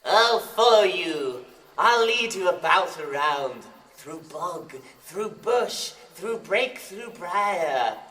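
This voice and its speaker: A man's voice: high voice, animated, slow, bright, forceful tone, roomy sound, recorded from a distance, slight background noise, exaggerated voice, rough recording. A man playing a gleeful, mischievous forest sprite in a Shakespearean audio drama, with a swooping theatrical delivery. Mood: wild glee.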